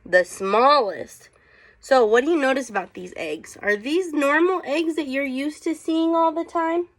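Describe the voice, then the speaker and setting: A woman teacher reading a picture book aloud to children, casually prompting them with questions as she goes. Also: high voice. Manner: unhurried, inquisitive, prompting listeners with questions.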